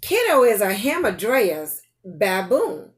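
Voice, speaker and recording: An older woman reading a picture book aloud to young children, playful and expressive, often putting questions straight to her listeners. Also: mid-range voice, slow. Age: older, grandparent. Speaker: storytime host reading to children.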